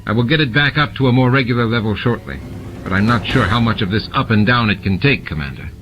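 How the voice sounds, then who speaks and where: A man's voice: mid-range voice, fast, noisy background, lightly acted. A man playing a practical, plain-spoken male chief engineer in a science fiction audiobook. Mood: businesslike. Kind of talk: audiobook character dialogue. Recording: music bed behind the reading.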